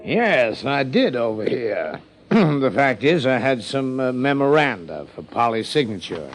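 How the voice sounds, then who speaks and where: A sly glib american plant manager, mid voice that swoops around, florid old radio drama talk. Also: male voice, medium pitch, big pitch swings, a little slow, soft background music. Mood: glib, amused. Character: glib, smooth-talking small-town plant manager, slyly mocking. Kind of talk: 1950s radio drama acting.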